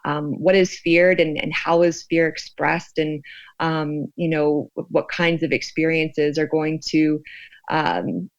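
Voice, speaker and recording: A woman's voice: sits low, steady tone, slow pace, dry recording. Slightly dull, dry audio of an American woman. She speaks as a researcher being interviewed, thoughtful and conversational. She often halts and searches for the next word. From United States. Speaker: sociologist and researcher, podcast guest.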